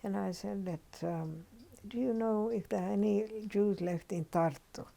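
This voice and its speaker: Slow, gravelly older Finnish woman. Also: medium pitch, mellow. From Finland. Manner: unhurried and reflective, with frequent ums and restarts. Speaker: interviewee. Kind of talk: oral history interview.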